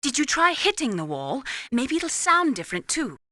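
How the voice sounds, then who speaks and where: A woman's voice: high voice, big pitch swings, normal speed, sharp tone, no echo, studio quality, full character voice. A woman playing an earnest support operative in a video game, explaining things precisely in a scripted briefing. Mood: helpful.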